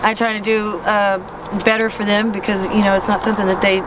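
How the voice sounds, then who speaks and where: A woman in her forties from Indiana telling her personal story in an interview, halting as she searches for words. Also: mid-range voice, flat delivery. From Indiana, then Texas. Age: 40s. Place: outdoor camp in the woods. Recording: loud outdoor traffic noise.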